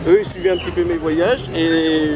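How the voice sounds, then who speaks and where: A man's voice: on the high side, flat delivery, normal speed, muffled recording. A man hosting a casual travel interview, eager and laughing often, with many fillers and false starts. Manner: hesitant, many fillers and restarts. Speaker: travel vlogger host. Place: busy city street outdoors. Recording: loud street crowd noise. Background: crowd and traffic.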